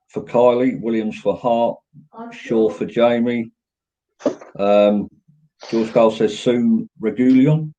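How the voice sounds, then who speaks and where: Very deep-voiced southern English man, muffled sound. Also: dark tone. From England. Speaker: host of a football fan show. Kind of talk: casual fan discussion, reading viewers' comments. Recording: dull, lo-fi audio.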